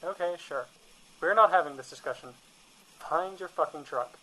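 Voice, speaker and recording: A man's voice: a little high, normal speed, sharp tone, low-quality recording. A man playing an exasperated, practical monster hunter in a comedy radio drama.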